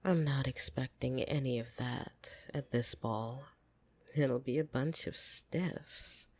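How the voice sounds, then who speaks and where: A woman playing a fond, teasing, possessive vampire in an intimate ASMR roleplay, speaking softly and often slipping into whispers. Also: extremely low pitch, full character voice. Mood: wry, fond. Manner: soft, breathy, teasing, often dropping into whispers. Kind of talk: intimate ASMR roleplay, scripted monologue to listener. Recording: music behind the voice.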